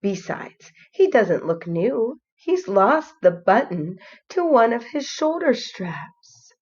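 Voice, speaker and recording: A weary storybook mother acted by a woman reader speaks slowly and gently but firmly, with a sigh in her manner. The recording is slightly dull. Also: fairly high pitch, very animated, husky, heavily acted. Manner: sighing, weary. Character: tired mother gently refusing her child.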